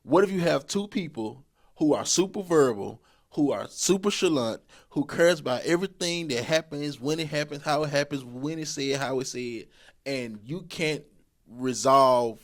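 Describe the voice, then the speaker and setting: A man in his thirties talking casually on a podcast at a middle pitch. He rambles and repeats words, with easygoing colloquial speech and frequent laughs. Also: normal speed. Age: 30s. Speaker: podcast co-host. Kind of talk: casual relationship advice talk.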